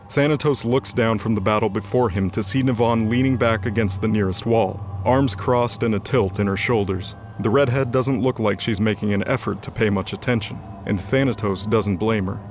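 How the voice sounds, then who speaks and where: A man narrating a scripted fantasy audio drama as its storyteller. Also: lowish voice. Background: music bed.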